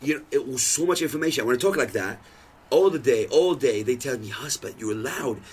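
A man's voice: mid-range voice, fairly quick, slightly rough. A man in his thirties, a podcast guest, telling stories in casual chat and acting out the lines he quotes. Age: 30s. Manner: animated storytelling, acts out quoted lines.

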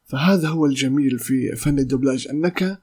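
Husky young man hosting a podcast. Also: mid-range voice, brisk, darkish tone. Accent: Palestinian. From Palestine. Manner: hesitant, many "uh" fillers and restarts. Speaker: podcast host and voice actor. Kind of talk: solo podcast talk in Modern Standard Arabic.

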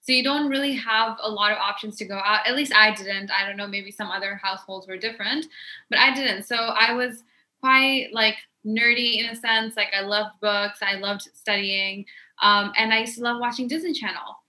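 A woman's voice: medium pitch, fairly even intonation, moderate pace, bright, forceful tone. A young woman from Pakistan, a podcast guest, chatting casually and filling her speech with "like". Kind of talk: casual interview chat.

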